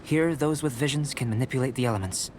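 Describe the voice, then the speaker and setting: A man playing a composed young alchemist in a video game, speaking in a measured, formal, analytical manner. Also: mid-range voice, background noise, lightly acted. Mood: curious, composed. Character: calm, courteous young male alchemist and researcher. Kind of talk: video game character dialogue.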